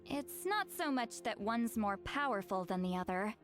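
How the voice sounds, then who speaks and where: A woman's voice: fairly high pitch, very animated, moderate pace, over music. Solemn young shaman woman. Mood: explanatory. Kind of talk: scripted video game voice acting.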